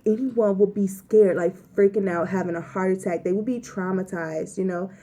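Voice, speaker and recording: A woman's voice: mid-range voice, warm tone. A young woman vlogger casually telling a story to the camera, chatty and rambling with lots of filler words.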